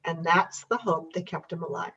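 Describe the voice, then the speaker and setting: Older female guest, reflective conversation, husky tone, dull and distant recording. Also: lowish voice, normal speed, bright, forceful tone. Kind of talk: reflective conversation about family history. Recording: distant, dull muffled microphone.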